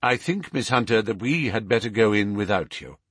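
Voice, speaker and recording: Man as gentleman detective, commanding, keen, formal, animated pitch, dry sound, storybook. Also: husky, no echo. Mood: decisive. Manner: brisk, authoritative, formal phrasing. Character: commanding, keen, self-assured male detective.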